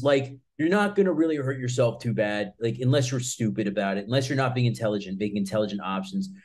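A man's voice: mid-range voice, darkish tone. A man in his mid-thirties giving casual, blunt, emphatic advice to camera as a martial arts channel host, with informal, sweary phrasing.

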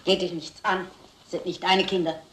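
An actress playing a domineering, suspicious wife with a sharp, demanding manner. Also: female voice, on the low side, level tone, normal speed, very noisy, close to an everyday voice. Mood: suspicious, agitated. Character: domineering, suspicious wife questioning her husband. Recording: echoey film sound.

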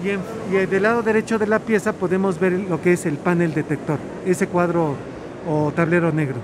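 A middle-aged man giving a technical product demonstration at a trade show, explaining step by step with frequent "eh" fillers. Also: high-pitched. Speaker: product specialist. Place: large indoor trade show hall. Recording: noisy trade show hall.

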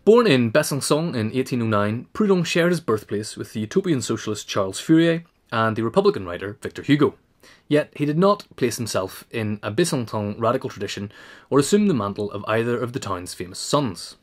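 A man's voice: medium pitch, very animated, a little fast. A man reading scripted narration, as in an audio essay. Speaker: reader.

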